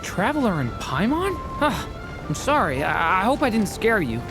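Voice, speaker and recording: A gentle young boy in a video game, shy and apologetic. His mid-pitched voice moves up and down a lot, polite and hesitant, over clear background music. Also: big pitch swings, normal speed.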